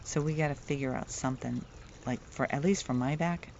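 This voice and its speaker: A middle-aged woman with a soft voice, telling a playful, chatty vlog story outdoors and laughing at her own jokes. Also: rumbling low, a little fast, a little hiss or hum. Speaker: vlogger. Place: outdoors, near the water. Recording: dull lo-fi outdoor recording.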